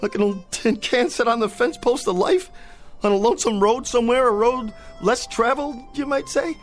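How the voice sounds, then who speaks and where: An actor playing a vain, panicky television cowboy star who babbles theatrically. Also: male voice, extremely high pitch, normal speed. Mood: pleading. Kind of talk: radio drama acting. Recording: radio drama with music behind.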